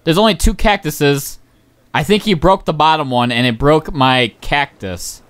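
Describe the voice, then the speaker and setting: A young man giving casual, rambling gameplay commentary as a channel host, excitable and swearing often, his voice going hoarse. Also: on the high side, unhurried. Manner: rambling, excitable, swears, many ums and uhs.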